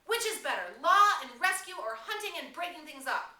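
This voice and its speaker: A woman's voice: sits high, big pitch swings, moderate pace, sharp tone, pitched above the performer's own voice, heavily acted. A woman voicing a desperate, angry boy leader from a novel, emphatic and exasperated. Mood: urgent shouting. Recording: roomy, distant mic, faint noise.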